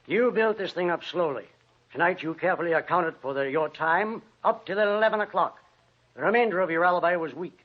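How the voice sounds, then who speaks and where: Curt, fairly high-pitched cop. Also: male voice, a little fast. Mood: accusing. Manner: curt, skeptical. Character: brisk, hard-nosed police officer grilling a suspect. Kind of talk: 1940s radio drama acting. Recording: muffled old radio recording.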